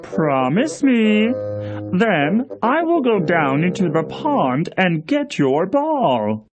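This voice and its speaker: A woman voicing an earnest, insistent male frog in a children's fairy tale, with exaggerated, deliberate delivery. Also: fairly low pitch, very animated, highs cut off, over music. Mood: bargaining.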